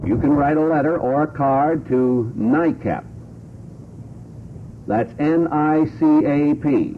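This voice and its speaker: A man hosting a radio talk show in a conversational, deliberate way, repeating and spelling out details for listeners. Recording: noisy, tinny vintage radio recording with rumble.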